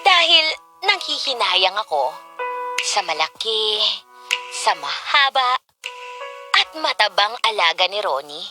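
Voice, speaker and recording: A woman's voice: mid-range voice, sing-song, takes it slowly, pauses often. A woman with a Filipino accent playing a frustrated, lovelorn girlfriend in a melodramatic, pleading comedy radio drama style. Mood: wistful confiding. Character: frustrated, lovelorn girlfriend desperate for her boyfriend's attention. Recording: music behind the voice.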